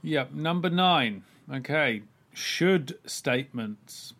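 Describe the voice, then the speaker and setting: A man co-hosts a chat podcast, explaining cognitive ideas in a calm, measured way. He often pauses on an um before going on. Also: fairly high pitch. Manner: measured, explaining psychology terms with many ums. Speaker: podcast co-host.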